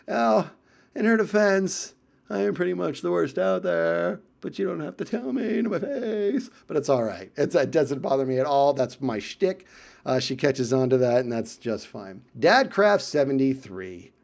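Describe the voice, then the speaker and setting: An American man tells casual anecdotes as a video creator, in an animated way, chuckling and acting out exchanges with friends. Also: fairly high pitch, normal speed, gravelly. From United States. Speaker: video creator telling stories about friends.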